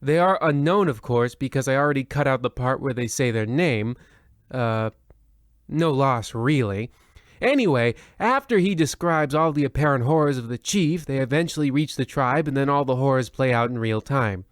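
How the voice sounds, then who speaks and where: A man's voice: mid-range voice, moderate pace, no room sound. A man narrating a comedic old-time radio play in a haughty, smug, dry manner, addressing the listener directly. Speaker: narrator and host.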